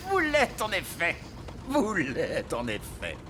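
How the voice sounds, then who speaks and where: Slow, swooping old wise elf. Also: male voice, fairly high pitch, huge pitch swings, background noise, full character voice. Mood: amused, polite. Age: older. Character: old wise male elf, courteous and chuckling. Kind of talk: French cartoon dub acting.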